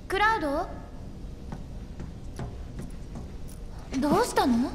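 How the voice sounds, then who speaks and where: High-voiced, playful young woman. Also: animated, a little fast, a little hiss or hum. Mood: gently concerned. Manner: playful, teasing, breezy. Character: cheerful, playful young woman, flower seller and guide. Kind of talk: Japanese video game voice acting. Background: background music.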